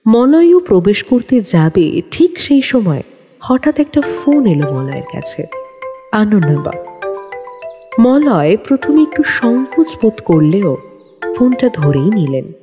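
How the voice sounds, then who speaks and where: A woman's voice: medium pitch, sing-song, unhurried. A woman narrating a detective story from a script in a dramatic style. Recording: long studio reverb, music underneath.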